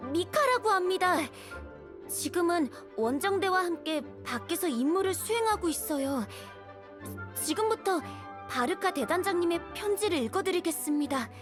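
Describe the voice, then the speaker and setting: Shy young knight boy from a game, really high voice, earnest n polite, music behind. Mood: nervous, polite. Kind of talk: scripted game dialogue, reading a letter aloud.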